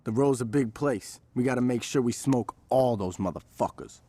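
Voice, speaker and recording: A man's voice: mid-range voice, moderate pace, dark tone, natural voice. A man acting an easygoing but businesslike street gang lieutenant in a video game.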